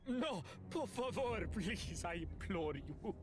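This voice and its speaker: A woman's voice: mid-range voice, lively intonation, takes it slowly. A woman playing a dramatic, pleading character in a voice demo reel. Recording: loud music under the voice.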